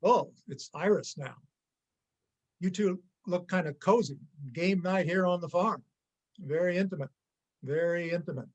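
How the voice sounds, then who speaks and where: A vengeful robber played by a man in an amateur play reading. His voice sits high for a man, and he talks in a halting, sneering, threatening way. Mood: sneering, suspicious. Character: menacing, sarcastic male gangster robber, jumpy and vengeful. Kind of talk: amateur reader's theater acting.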